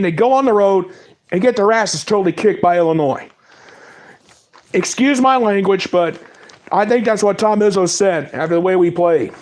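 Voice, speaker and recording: Man with a cold, high voice, recapping sports. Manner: reads stats in quick lists, apologizes for mispronouncing names. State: has a cold. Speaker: sports talk host. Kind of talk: casual sports recap monologue.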